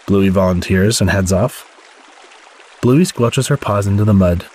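A man reading a children's storybook aloud as the host, in expressive narration with a slightly husky voice. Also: fairly low pitch, a little slow. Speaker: story podcast host and reader.

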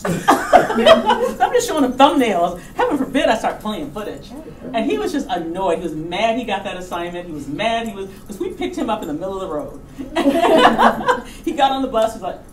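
A middle-aged woman telling anecdotes casually on stage into a handheld microphone, animated and informal, acting out reactions. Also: medium pitch, moderate pace. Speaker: documentary filmmaker, guest speaker. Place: auditorium stage before an audience. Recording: roomy, distant hall sound with background noise.

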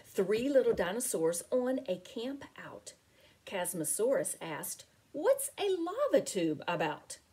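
Slow, expressive woman reading a picture book. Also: medium pitch, slightly rough. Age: middle-aged. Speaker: teacher reading aloud to children. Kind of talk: picture book read-aloud. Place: small home room with window blinds.

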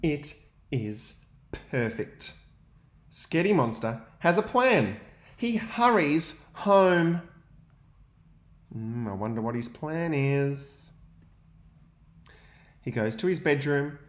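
A young adult male teacher, reading a picture book aloud to young students and pausing to ask them questions. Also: a little high, echoey room. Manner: gentle, expressive storytelling with pauses to ask questions.

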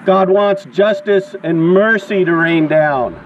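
High-pitched man preaching through a megaphone. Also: takes it slowly. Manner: exhorting, declamatory. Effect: megaphone amplification. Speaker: street preacher and activist. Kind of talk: open-air protest preaching through a megaphone. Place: outdoors on grass beside a sidewalk and parking lot. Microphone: action camera beside the megaphone. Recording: dull outdoor recording with traffic noise.

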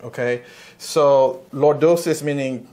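A man's voice: medium pitch, steady tone, slow pace, warm tone. A middle-aged man working as a chiropractor, explaining the exam to a patient and often checking in with short questions. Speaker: chiropractor, video host. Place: small indoor clinic treatment room. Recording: clear background room noise.

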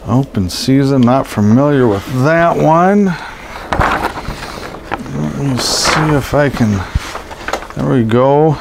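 A man's voice: medium pitch, lively intonation, takes it slowly, creaky, pauses often. A middle-aged man with a head cold, sounding scratchy and stuffed up, rambling casually with many ums and uhs. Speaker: collectibles seller. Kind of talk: casual show-and-tell narration. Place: indoors over boxes of posters.

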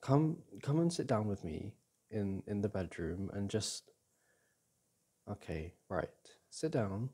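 A young man playing a caring, reassuring boyfriend in an intimate ASMR roleplay, speaking gently and haltingly with sighs and restarts. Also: lowish voice, lively intonation, breathy edge, heavily acted. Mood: soothing, worried. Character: caring, reassuring boyfriend comforting his upset partner. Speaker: audio roleplay performer.

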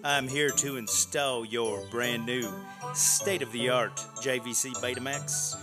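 A man's voice: mid-range voice, steady tone, takes it slowly, close to an everyday voice. Man playing cocky electronics installer, music behind. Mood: confident, salesy. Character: cocky, eager male electronics-store installer. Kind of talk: parody advert sales patter. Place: small indoor stage with live audience. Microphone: studio mic on stand.

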